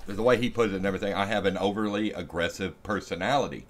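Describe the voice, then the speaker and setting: A man chatting casually as a guest on a stream, halting and restarting his sentences as he goes. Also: deep voice. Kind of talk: casual chat.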